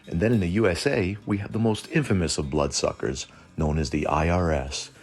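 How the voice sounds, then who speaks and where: A man's voice: low-pitched, brisk. A man narrating a scripted lore show as host, with dry joking asides.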